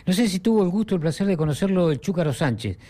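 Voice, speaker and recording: A man's voice: sits high, lively intonation, fast, mellow. A middle-aged Argentine man hosting a sports radio show in a rambling, conversational way.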